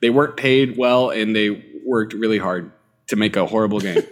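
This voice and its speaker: A man's voice: fairly low pitch, normal speed, a little hiss or hum. A man co-hosting a podcast, joking and laughing through his lines. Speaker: podcast co-host. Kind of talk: casual podcast chat.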